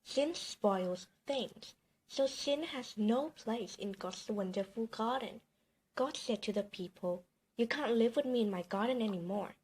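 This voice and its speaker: A woman reading a children's bedtime story aloud in an expressive, emphatic storytelling manner. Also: fairly high pitch, a little slow, slight background noise, poor audio.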